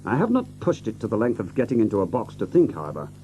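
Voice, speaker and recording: An actor playing a commanding, sharp-witted gentleman detective in an audio drama, authoritative, incisive and theatrical. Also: lowish voice, huge pitch swings, quick pace, muffled recording, slight background noise. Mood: musing.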